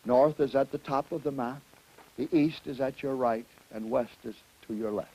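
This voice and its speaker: A man presenting an educational lecture to students in deliberate, emphatic, didactic phrasing. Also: medium pitch, mellow, breathy edge, rough recording. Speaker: educational film presenter and astronomy teacher.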